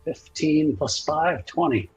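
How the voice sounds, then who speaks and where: A man narrating his character's moves in a tabletop roleplaying game, casually and with hesitant pauses. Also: on the high side, darkish tone, low-quality recording.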